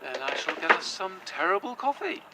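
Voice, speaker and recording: A man's voice: high-pitched, big pitch swings, a little fast, heavy background noise. An actor playing a nervous, earnest young man who stumbles over his words. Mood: warm teasing. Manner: nervous, earnest, hesitant with fillers. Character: anxious, hopeful young man, possessed. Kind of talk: audio drama acting.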